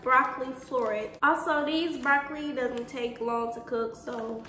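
A woman's voice: high voice, unhurried, pushed and bright. A young woman talking viewers through a home cook-along in casual, chatty speech, calling them y'all and boo. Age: young adult. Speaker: cooking vlogger. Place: home kitchen, indoors. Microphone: camera on counter, at a distance. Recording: echoey kitchen with noticeable background noise.

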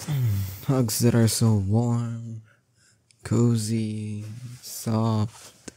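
A young man playing a strong, doting boyfriend in an intimate roleplay audio drama, coaxing and teasing affectionately with drawn-out words. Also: on the low side, level tone, mellow, slight background noise. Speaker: voice actor.

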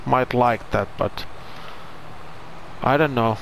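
A man's voice: medium pitch, slow, creaky, slight background noise, low-quality recording. A man with a Finnish accent, a non-native English speaker, doing casual commentary over farming gameplay and searching for his words. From Finland. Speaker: gaming channel host.

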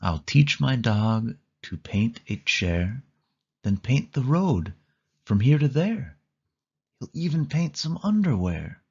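A man reading a children's picture book aloud in a slow, sing-song storytelling manner. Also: medium pitch, big pitch swings, darkish tone, slightly rough, muffled recording. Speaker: storybook reader. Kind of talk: children's read-along narration.

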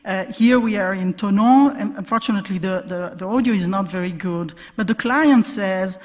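A woman researcher delivers an academic conference talk in a big hall with long reverb. Her voice sits at a middle pitch, and her explanations come haltingly, with words repeated as she searches for the next one. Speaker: keynote speaker, academic researcher. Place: large conference hall stage, at podium. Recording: reverberant lecture hall sound.

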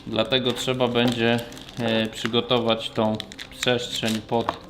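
A man's voice: medium pitch, completely flat delivery, slow pace, background noise. A man gives a casual hands-on tutorial as a technician, explaining step by step in a plain, practical way. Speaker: motorcycle shop technician demonstrating installation.

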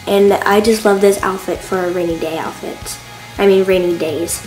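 A woman's voice: medium pitch, fairly even intonation, moderate pace, music in the background, rough recording. A teenage girl filming a casual outfit vlog outdoors in a suburban yard, chatty and gushing, recorded on a phone camera set on a mailbox. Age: teens. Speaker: fashion vlogger.

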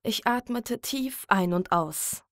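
A woman narrating a scripted first-person horror story in a measured, dramatic manner. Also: mid-range voice, expressive, fairly bright tone, dry recording, clean studio sound.

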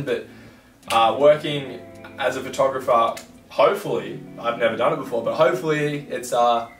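A man's voice: mid-range voice, far from the mic. A young man chatting casually as podcast host from an armchair in a living room, laid-back with lots of fillers and swearing. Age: young adult. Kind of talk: casual chat. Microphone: far camera mic. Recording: roomy sound from across the room.

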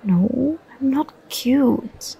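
Give this to a young woman acting as a nerdy, affectionate girlfriend in an ASMR roleplay. The voice is breathy and fairly high, with a lot of vocal fry. She speaks slowly and haltingly, bashful and playfully protesting. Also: lively intonation, mellow, heavily acted, poor audio. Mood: flustered, bashful.

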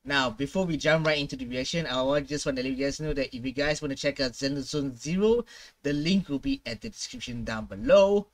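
A young man hosting a casual gaming reaction video, commenting in an excitable, slangy way. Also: a little high, brisk. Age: young adult. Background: game trailer music.

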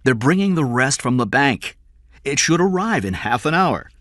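A man's voice: mid-range voice, big pitch swings, normal speed, a bit bright, no room sound, clean studio sound, voice pushed up. A man playing a tense, defensive rich father in an audiobook, strained and hesitant. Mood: nervous, hesitant.

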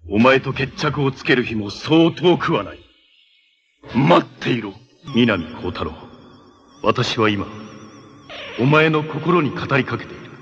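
An actor playing a menacing armored cyborg villain in a 1980s Japanese superhero TV show, making imperious, theatrical proclamations. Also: male voice, mid-range voice, lively intonation, a little slow, long pauses, fairly bright tone, lo-fi sound, soft background music. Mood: solemn challenge.

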